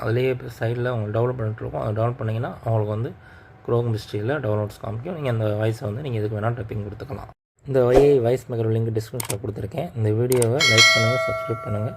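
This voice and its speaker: A man's voice: medium pitch, fairly even intonation, very fast, gravelly. A man with a Tamil accent hosting a casual tech tutorial, explaining step by step and repeating himself. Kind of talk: casual screen tutorial narration. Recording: faint background noise.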